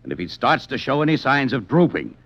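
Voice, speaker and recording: An actor playing a gruff, sarcastic, domineering magazine boss in a blustering 1950s radio drama style. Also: male voice, mid-range voice, very animated, a little fast, a bit bright. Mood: stern. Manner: blustering, sardonic. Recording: muffled 1950s radio broadcast.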